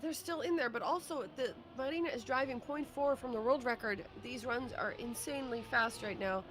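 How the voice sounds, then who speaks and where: A woman's voice: a little high, fairly quick, fairly bright tone, a little hiss or hum. A woman commentating live on a racing game, adding analysis as an esports co-caster.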